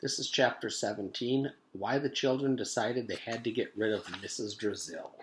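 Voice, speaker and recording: A man's voice: fairly low pitch, moderate pace, slight background noise, poor audio. A man narrating a children's book aloud as a teacher reading to his class. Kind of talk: storybook read-aloud narration.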